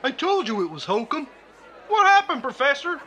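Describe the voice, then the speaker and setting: A man's voice: extremely high pitch, big pitch swings, moderate pace, bright, forceful tone, a faint crowd, heavily acted. A man playing a scoffing nightclub heckler in a radio play, with a sneering, mocking manner.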